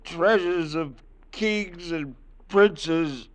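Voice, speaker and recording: An actor playing a shot, dying gangster in an old radio drama, gasping out feverish, cryptic, urgent words. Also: male voice, on the high side, extremely slow. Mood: delirious urgency. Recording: noisy remastered old radio recording.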